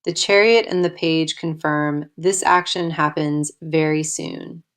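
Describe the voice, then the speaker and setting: A woman's voice: low-pitched, steady tone, unhurried. A woman giving a scripted tarot reading as host, in a solemn, emphatic, declarative way. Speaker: tarot card reader and host.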